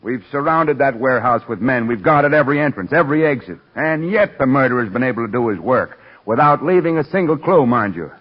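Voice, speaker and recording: Actor as a harried, blustery police commissioner. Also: male voice, mid-range voice, lively intonation, brisk, few pauses, close to an everyday voice. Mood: frustrated. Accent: American. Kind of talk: 1930s radio drama acting. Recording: dull 1930s radio recording.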